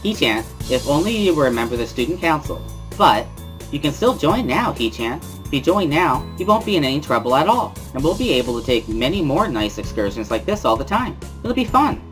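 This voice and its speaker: A man plays a cheerful, pushy schoolgirl from a visual novel, pitched well up from his own voice. The delivery is cutesy and coaxing, with a teasing sing-song lilt. Music plays clearly behind the voice. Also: big pitch swings, a little fast, fairly bright tone. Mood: pushy, bubbly. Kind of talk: amateur dramatic reading of a visual novel.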